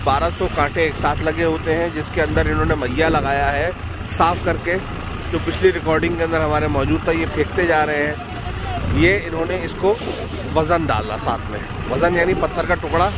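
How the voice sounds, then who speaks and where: A Pakistani man in his thirties walks viewers through a fishing trip in a high voice, slipping English terms into his explanations. He talks into a handheld phone on an open boat, and loud engine noise runs under him. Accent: Pakistani Urdu. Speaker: fishing vlogger. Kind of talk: explaining while filming.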